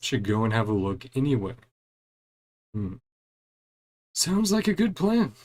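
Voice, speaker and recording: A man's voice: medium pitch, very animated, measured pace, darkish tone. A man giving casual commentary on a game as he plays, musing aloud and reading bits of dialogue. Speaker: gaming streamer.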